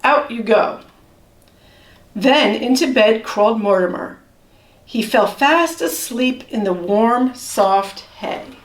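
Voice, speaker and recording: Slow, high-voiced woman reading a storybook. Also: animated. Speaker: storybook reader. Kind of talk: children's storybook read-aloud. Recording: roomy echo, distant microphone.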